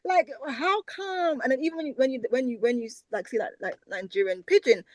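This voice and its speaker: A woman's voice: sits high, a little fast, over a noisy crowd. A British woman, a language researcher, talking animatedly as a guest in a conversational interview. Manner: animated, repeats words for emphasis.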